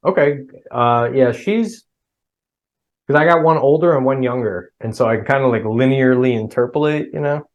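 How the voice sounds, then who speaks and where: A middle-aged man with a New Jersey accent talks in a loose, rambling way and keeps restarting his sentences. His voice sits at a mid pitch, and this is relaxed podcast conversation. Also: moderate pace, highs cut off. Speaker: podcast guest. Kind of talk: casual chat.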